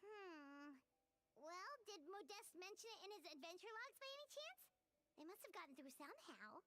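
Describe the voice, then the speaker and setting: Squeaky, excitable little fairy companion. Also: female voice, normal speed, exaggerated voice. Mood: puzzled, doubtful. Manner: exclamatory, expressive. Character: small childlike fairy companion, excitable and food-loving. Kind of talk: anime video game voice acting.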